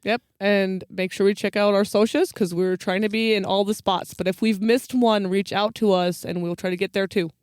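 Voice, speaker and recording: A man with a very high-set, clear voice co-hosts a podcast. He talks in a casual, playful and informal way. The recording is close and dry. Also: fairly even intonation, moderate pace, hardly any pauses, dry recording. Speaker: podcast co-host. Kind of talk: casual chat.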